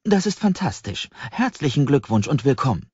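A man's voice: medium pitch, very animated, moderate pace, a little mellow, husky, pitched above the performer's own voice. A man voicing a cheerful, welcoming doorkeeper of a secret library in an audiobook, friendly, amused and eager to explain. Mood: cheerful, helpful. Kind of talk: audiobook character voice. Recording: slightly dull, roomy audiobook recording.

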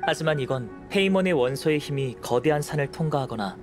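An actor voicing a calm, scholarly young male alchemist in a game, soft-spoken and analytical, with music playing behind. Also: a little high, brisk, natural voice. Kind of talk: game voice acting.

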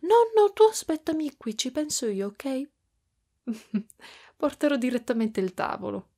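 A woman voicing a doting, clingy motherly spider-woman in an ASMR roleplay, speaking softly and affectionately with whispery stretches. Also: high-pitched, sing-song, unhurried, full character voice. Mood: caring, cheerful. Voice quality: soft, breathy with whispery stretches. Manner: cooing, affectionate, giggly, pet names.

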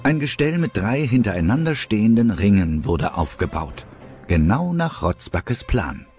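Low-voiced man narrating an audio drama. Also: sing-song, normal speed, darkish tone, faint music behind.

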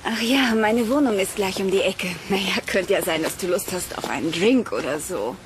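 A woman's voice: mid-range voice, lively intonation, moderate pace, noisy background, natural voice. Flirty, self-assured femme decoy played by a woman. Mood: flirty, teasing. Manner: teasing, confident. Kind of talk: German sci-fi audio drama acting. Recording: music behind the dialogue.

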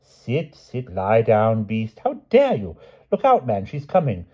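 A man's voice: fairly low pitch, wildly swooping pitch, measured pace, lo-fi sound, voice pushed up, exaggerated voice. A man voicing a bumbling, fussy elderly knight-king who dithers in a plummy upper-class manner. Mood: flustered.

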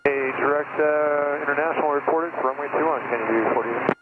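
A man's voice: fairly high pitch, fairly quick, phone-quality sound. A man speaking as a pilot, making a procedural check-in call over an aviation radio. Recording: thin aviation radio, loud vehicle noise.

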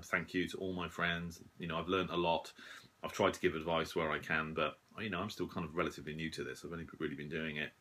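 A man in his forties giving a casual, modest, hesitant update to camera in a small room, sounding slightly rough and husky after a late night. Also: low voice, sharp tone, slight background noise. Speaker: shortwave radio hobbyist and video host.

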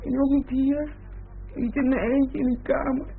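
An actress playing an unhappy young adult daughter in a radio play, sullen, petulant and contrary. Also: female voice, high-pitched, flat delivery, a little slow, creaky. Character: unhappy young daughter, sulky, contrary, attached to her father. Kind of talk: Dutch radio play acting. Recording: muffled phone-like old radio recording, hissy.

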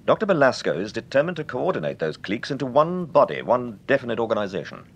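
A man with an English accent playing an urbane, composed, probing gentleman detective in an old-time radio drama. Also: big pitch swings, quick pace, dull audio. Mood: measured. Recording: noisy radio recording with low rumble.

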